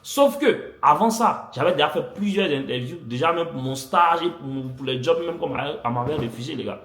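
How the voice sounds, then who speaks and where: A man's voice: a little high, expressive, moderate pace, a bit bright. A young man vlogging, animatedly telling a personal story to his viewers, repeating phrases for effect. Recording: echoey room.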